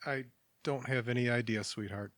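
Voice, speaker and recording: A man acting in audio theatre as a kindly, patient stranger, speaking in a gentle, reassuring manner. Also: mid-range voice, moderate pace, no echo, clean studio sound, lightly acted. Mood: soothing. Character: kindly, patient male stranger waiting for a train.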